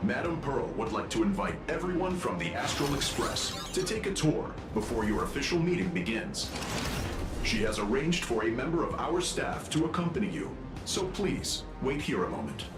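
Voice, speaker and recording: Genial company spokesman, played by a man, ceremonious and animated, echoing voice over loud music, anime game. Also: mid-range voice, normal speed, close to an everyday voice. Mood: courteous. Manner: formal, showy, deferential. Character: polished, genial, ceremonious corporate male guide. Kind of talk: anime-style video game voice acting. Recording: echoey, loud music behind.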